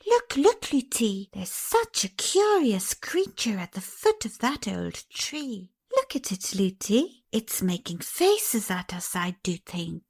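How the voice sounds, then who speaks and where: A woman voicing a chattering little girl princess in a storybook reading, high and breathless. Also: big pitch swings, slow, vocal fry, hardly any pauses, exaggerated voice. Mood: excited.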